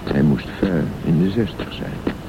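Low-voiced male radio drama narrator. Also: measured pace. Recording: dull sound with clear background noise.

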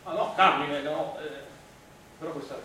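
Distant noisy stage recording, actor playing flustered man, evasive, hesitant, repetitive, slightly high voice. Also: fairly even intonation, moderate pace, bright, forceful tone, heavy reverb. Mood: confused, explaining. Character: evasive, flustered male stage performer named Augusto. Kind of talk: theatrical stage acting, naturalistic dialogue.

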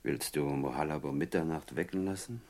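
An actor playing a menacing, domineering robber chief in a German radio play, speaking in curt commands. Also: male voice, extremely low pitch, a little fast, darkish tone, slight background noise. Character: menacing, domineering male leader of caravan robbers.

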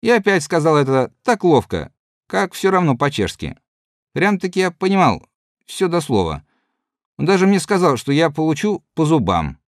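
Lively, dry-voiced reader doing a rambling, good-natured soldier spinning a yarn for his mates, read by a man. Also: a little high, normal speed, dry recording. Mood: chatty. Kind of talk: audiobook reading.

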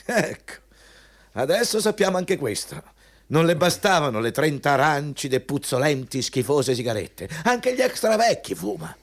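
An actor playing a domineering, hypocritical company boss in a theatrical Italian radio drama, pompous and mocking in manner. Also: male voice, on the high side, big pitch swings, normal speed. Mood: disgusted, scolding. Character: domineering, hypocritical male company boss interviewing a job applicant.

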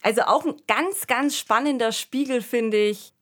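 A woman's voice: a little high, unhurried, a bit bright. Reflective woman hosting a conversation. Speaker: podcast host. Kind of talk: conversational discussion of a radio play.

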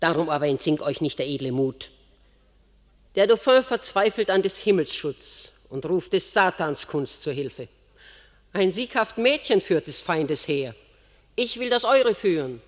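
A woman's voice: on the low side, lively intonation, unhurried. A woman acting a proud, vengeful queen mother in a classical verse radio drama, declamatory and scornful. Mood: rousing. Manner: declamatory, theatrical. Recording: long hall reverb.

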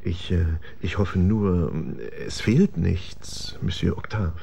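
Radio drama role: effusive, jittery male businessman, lowish voice, repeats himself, slight noise behind him. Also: slow, gravelly, heavily acted. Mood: anxious. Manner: excitable, stammering, repeats himself. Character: fussy, nervous, effusive insurance businessman.

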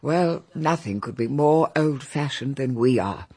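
Very husky, genteel elderly English lady. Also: extremely low pitch, expressive, measured pace, a little mellow, exaggerated voice. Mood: chatty, fond. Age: older. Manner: genteel, rambling, confiding. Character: genteel, chatty, confiding elderly English grandmother hostess. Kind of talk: radio drama acting.

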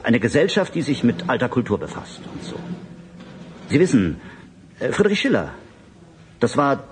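Actor as a very animated, defiant male doctor. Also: medium pitch, slight background noise, natural voice. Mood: evasive, flustered. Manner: animated, halting when cornered. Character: defiant, evasive human male doctor facing transhuman interrogation. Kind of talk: radio drama acting.

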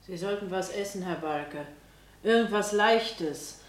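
An actress playing a plain-spoken, caring housemaid in a German radio drama, simple and hesitant in manner. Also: female voice, medium pitch, measured pace, echoey room, natural voice. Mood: concerned.

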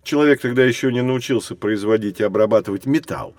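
An actor playing a kindly, encouraging professor who explains things in a friendly way in a children's audio drama. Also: male voice, fairly low pitch, quick pace, a little mellow, no room sound, studio quality, natural voice. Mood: enthusiastic explaining.

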